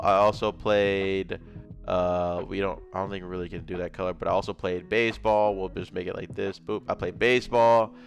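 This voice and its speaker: Young memphis dude rambling about his life while he draws, kinda low voice, faint music behind. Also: fairly bright tone. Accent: Memphis Southern. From Memphis, Tennessee. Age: young adult. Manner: laid-back, rambling, adds drawing sound effects. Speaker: gaming content creator. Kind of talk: casual storytelling while drawing.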